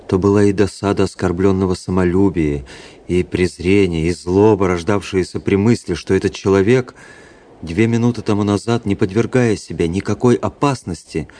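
An actor playing a proud officer who somberly recounts his duel, in measured, literary radio-drama narration. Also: low voice, warm tone. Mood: brooding. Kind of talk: first-person dramatic narration in a radio play.

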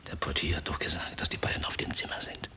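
A man's voice: quick pace, bright, forceful tone, in a whisper. An actor playing a wry detective who defers to his chief in a 1960s German crime radio play. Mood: hushed, curious. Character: eager male police detective, dryly deferring to his chief.

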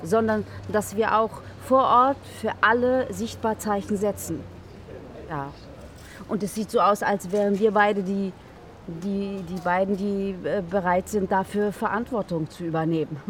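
An actress playing an earnest, somewhat hesitant citizens' activist in a naturalistic radio drama interview. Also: female voice, mid-range voice, unhurried, natural voice. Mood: earnest, hopeful. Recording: slightly distant, faint outdoor noise.

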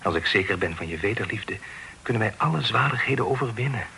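A bashful young suitor in a period radio play, earnest and hopelessly in love. The voice is fairly low with a forceful, bright edge, and he stammers through formal phrases. The old recording carries faint noise. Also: animated, quick pace, creaky, heavily acted, rough recording. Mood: ardent, pleading. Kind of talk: radio drama acting, period romance.

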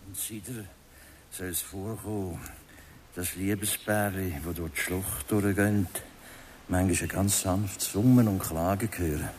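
A man's voice: low voice, steady tone, unhurried, darkish tone, a little hiss or hum. A man acting in a Swiss German radio drama as a predatory man who speaks in a coaxing, insinuating way. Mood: sly, insinuating. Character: predatory man pressing himself on a young woman.

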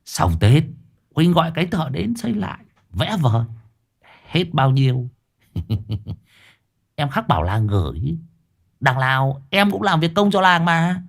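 A glib villager in an audio story talks in a bright, lifted, sing-song tone with a Northern Vietnamese accent. He sounds pleased with himself and teasing, voiced by a male narrator. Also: on the high side, very animated, unhurried, creaky, pauses often, voice pushed up, full character voice. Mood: smug teasing. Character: smug cheeky village man, middle-aged.

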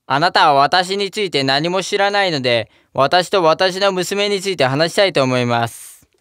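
A man's voice: high-pitched, lively intonation, normal speed, fairly bright tone, clean tone, no room sound, clean studio sound. A man playing a boastful, fawning middle-aged miller who grovels before the king. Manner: obsequious, flustered. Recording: background music.

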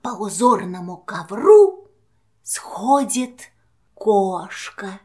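A woman storyteller narrating a rhymed fairy tale for children in a measured way, with deliberate pauses between phrases. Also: medium pitch, very animated, darkish tone.

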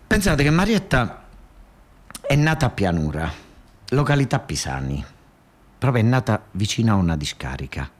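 A man's voice: fairly low pitch, very animated, moderate pace, pauses often, slight background noise. A man with a southern Italian accent telling a comic theatrical monologue in a colloquial, repetitive, dialect-tinged way. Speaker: storyteller and stage actor.

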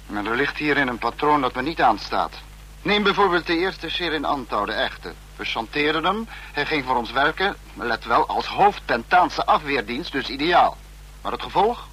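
Calculating dictator, medium-pitched voice, stern, deliberate lecturing, uneasy, old radio play, faintly noisy recording. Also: male voice, big pitch swings, pushed and bright. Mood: stern, brooding. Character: uneasy, calculating male dictator of a totalitarian state. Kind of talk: mid-century Dutch radio drama acting.

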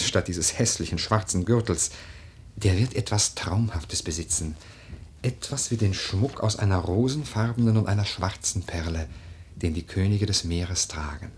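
An actor playing a brooding, eloquent, lordly widower in a literary radio play, with lyrical, deliberate, declamatory delivery. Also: male voice, fairly low pitch, darkish tone, husky. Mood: ardent, lyrical. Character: brooding, eloquent widower, poetic and lordly, drawn to a young woman.

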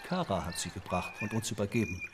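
An actor playing an insinuating court investigator in a German radio play, formal and pointed. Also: male voice, fairly low pitch, sing-song, quick pace, background noise. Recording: clear clicks and background sounds.

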